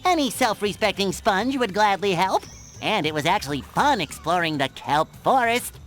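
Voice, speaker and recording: A man voicing an eager, naive boyish sea sponge in a cartoon game, squeaky, nasal and perky. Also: expressive, moderate pace, a bit bright, soft background music. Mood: eager gratitude. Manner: perky, earnest, over-eager. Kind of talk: cartoon game character acting.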